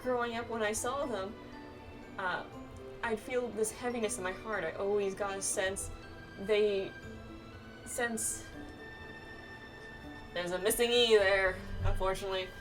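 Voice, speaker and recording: A woman's voice: mid-range voice, fairly even intonation, a little slow, pauses often, music in the background, close to an everyday voice. Woman reading as a wistful young fantasy clanswoman, slightly distant. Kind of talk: reading game dialogue aloud.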